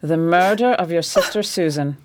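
Woman acting a low-voiced, stern lady detective. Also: normal speed, a little mellow, no room sound, lightly acted. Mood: cool, stern. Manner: crisp commands, unsentimental, formal. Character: shrewd, stern, self-assured lady detective. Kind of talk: period detective radio drama acting.

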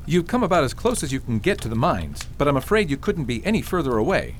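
A man's voice: a little high, sing-song, fast, a little hiss or hum. A man playing a plain-spoken old father in a fantasy radio drama, advising in a matter-of-fact, emphatic way.

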